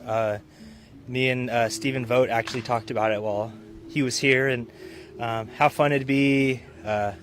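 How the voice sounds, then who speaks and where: Hesitant American athlete, mid-pitched male voice. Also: steady tone, moderate pace, fairly bright tone, a little hiss or hum. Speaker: professional baseball player being interviewed. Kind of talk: casual interview answer.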